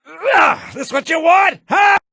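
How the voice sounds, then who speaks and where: An actor playing a hot-tempered fantasy villager in video game combat barks, his voice rough and strained. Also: very high voice, lively intonation, slow pace, pushed and bright, dry recording, shouting, studio quality, exaggerated voice. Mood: aggressive taunting.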